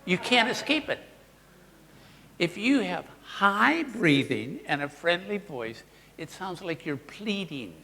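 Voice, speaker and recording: A man leads a live workshop, talking with lively swings in pitch and acting out example voices as he teaches. His voice sits a little high for a man. It was recorded in a large hall with a long echo and a faint hum of room noise. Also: slow pace, gravelly. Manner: animated, demonstrative, models voices for the audience. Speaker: workshop presenter.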